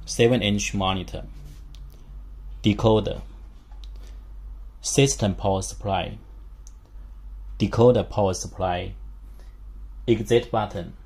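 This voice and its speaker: A man giving a hands-on instructional demonstration as a product demonstrator, speaking haltingly in short phrases in a small room. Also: lowish voice, slightly rough. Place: small indoor room with a work table. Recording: steady background room noise.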